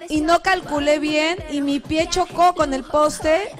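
A woman in her thirties, a TV host on a live outdoor link, telling her story in a lively, chatty way into a handheld mic. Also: high-pitched, takes it slowly, over music. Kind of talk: casual live TV chat. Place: outdoors on a leafy street.

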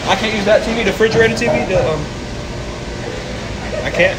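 A young man in casual prank-vlog chat with restaurant staff, pleading and animated, often saying "bro", recorded on a handheld camera in a fast food restaurant. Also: sits high, heavy background noise. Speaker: prank vlogger.

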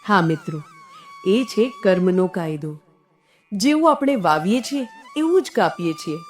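A woman's voice: mid-range voice, big pitch swings, measured pace. Earnest woman storyteller over music. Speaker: storyteller and channel host. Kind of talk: scripted moral story narration. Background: background music.

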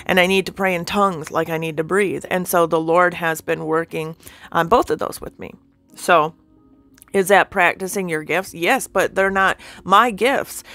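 A woman's voice: fairly low pitch, fairly bright tone. A woman with a nasal, sinusy voice giving an informal spiritual teaching. She talks earnestly and keeps checking in with the listener.

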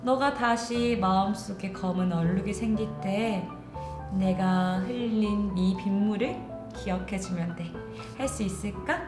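A woman voicing a kind, comforting cloud in a children's storybook tale, calling out gently. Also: medium pitch, measured pace, natural voice. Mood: tender farewell. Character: kind, comforting, self-sacrificing cloud spirit. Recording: roomy echo, music underneath.

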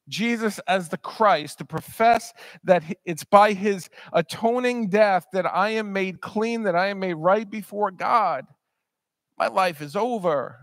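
A man's voice: high voice, fairly bright tone, dry recording, studio quality. A man in his thirties preaching a sermon in a church, earnest and emphatic, often repeating words as he presses a point. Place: church sanctuary, speaking at a pulpit.

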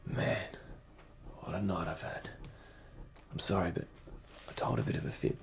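An actor playing a weary man soothing his partner in a hushed, close-miked film drama. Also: low voice, fairly even intonation, moderate pace, background noise, lightly acted. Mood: weary, apologetic. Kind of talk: naturalistic film drama dialogue.

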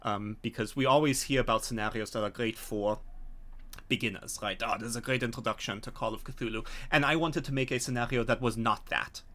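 A man's voice: mid-range voice, fairly quick, bright, forceful tone. Middle-aged man explaining as a host. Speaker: host and scenario author. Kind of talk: unscripted discussion of a tabletop game scenario. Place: home room on a video call.

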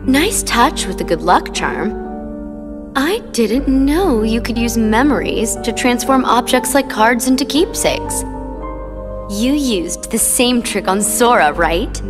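A woman voicing a sadistic, sweetly cruel young villainess in a video game, taunting with drawn-out mock sympathy. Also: on the high side, lively intonation, over music. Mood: mocking admiration. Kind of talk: video game cutscene acting.

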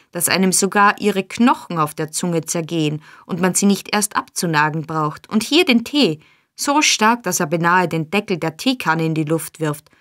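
Boastful young male thief, exuberant and high, voiced by a woman narrator. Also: a bit bright, no room sound, clean studio sound. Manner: exuberant, bragging. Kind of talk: audiobook character voice.

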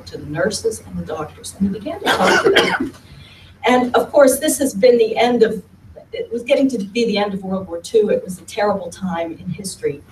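A woman's voice: medium pitch, darkish tone. Woman lecturing in an echoey hall. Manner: conversational, repeats words while speaking. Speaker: speaker giving a history talk. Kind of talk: presentation at a lectern. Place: large meeting room with audience. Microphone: far camera at the back of the room. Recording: roomy hall from far off, faint hum.

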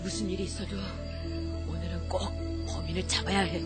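A woman's voice: lowish voice, fairly even intonation, fairly quick, loud music behind the voice, natural voice. A woman voicing a brave, earnest and determined young boy prince in a children's puppet play. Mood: resolute, drowsy. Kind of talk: children's puppet theatre acting.

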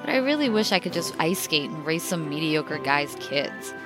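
A woman acts out an earnest career-woman heroine from a cheesy holiday romance. Her voice is low and confessional, over a clear music bed in a parody trailer. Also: big pitch swings, normal speed, rough recording. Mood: wistful, earnest. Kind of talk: parody film trailer dialogue.

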